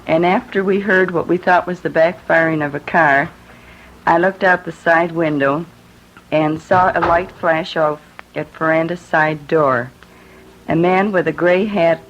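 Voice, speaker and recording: A middle-aged American woman reciting an eyewitness statement on an old radio recording. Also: lowish voice. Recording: muffled old radio recording, slight noise.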